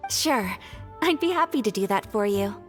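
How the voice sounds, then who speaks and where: A woman playing a gentle, polite and refined young noblewoman in a video game. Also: medium pitch, animated, normal speed, soft background music, natural voice.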